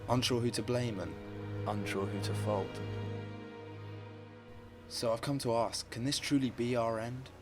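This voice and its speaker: A man playing a troubled, earnest mortal who questions Death in measured, solemn rhyme. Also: mid-range voice, fairly even intonation, over music, close to an everyday voice. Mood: troubled, searching. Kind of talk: rhymed poetic monologue.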